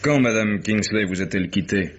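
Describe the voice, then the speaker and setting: An actor playing a wry, unflappable private detective in a French radio mystery, dry and sardonic in manner. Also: male voice, fairly low pitch, fairly quick, natural voice. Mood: businesslike. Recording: dull, noisy vintage radio recording.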